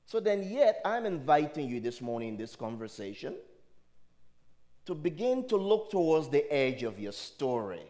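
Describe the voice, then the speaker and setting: Animated, high-pitched man with Liberian accent. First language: Liberian dialect. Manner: emphatic, rhetorical, questioning the audience. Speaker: spiritual speaker. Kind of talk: motivational sermon to a live audience.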